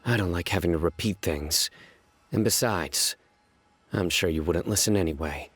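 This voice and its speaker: An actor playing a cold, commanding male investigator in a video game, speaking in a measured, clipped way. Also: deep voice, slightly rough, no echo, soft background music. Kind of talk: game voice acting.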